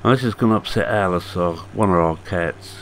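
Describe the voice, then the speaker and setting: A man in his sixties with a hoarse, croaky voice, giving casual, rambling commentary on a farming game with halting fillers. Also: sits low, a little hiss or hum. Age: 60s. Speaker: farming game video maker.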